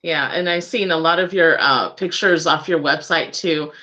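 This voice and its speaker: A woman's voice: deep voice, moderate pace, bright, forceful tone. A woman hosting a casual interview, giggly and agreeable as she talks. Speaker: interviewer, radio host.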